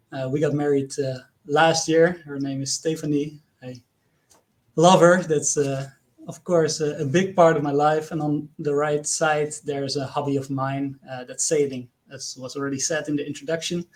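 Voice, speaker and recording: A man from the Netherlands speaking English with a Dutch accent, giving an earnest online conference presentation with frequent hesitations and questions to the audience. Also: on the high side.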